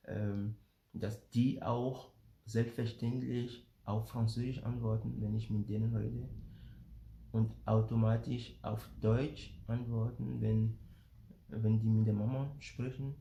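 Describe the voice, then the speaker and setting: A man from Benin talks slowly with a gravelly, mellow voice, stopping often on fillers and restarting his words. The sound carries a noticeable echo of the room around him. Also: fairly low pitch. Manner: slow, hesitant, many fillers and repeated words. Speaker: father sharing family experience. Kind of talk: casual explanatory talk to camera.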